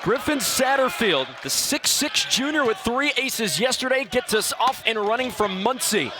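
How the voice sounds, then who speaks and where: A man calling live volleyball play-by-play as a TV announcer, getting excitable on big plays in an indoor arena. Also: very high voice, moderate pace. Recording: loud crowd and arena noise. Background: crowd, bouncing balls, music.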